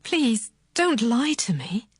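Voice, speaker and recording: High-voiced, earnest woman of ancient Israel. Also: sing-song, slow pace, slightly rough, no room sound, studio quality, full character voice. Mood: pleading. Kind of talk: dramatized scripture audiobook acting.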